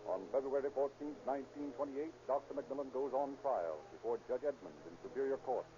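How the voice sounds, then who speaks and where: A man narrating a police drama from a script, in formal, measured 1930s radio style. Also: medium pitch. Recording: hissy, dull 1930s radio recording.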